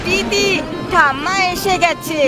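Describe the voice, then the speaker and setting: A timid, excitable little brother from a children's cartoon acted by a woman. He sounds high, childlike and whiny, with clear music playing behind. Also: takes it slowly. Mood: excited. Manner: childlike, whiny, repeating phrases. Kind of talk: expressive children's cartoon acting.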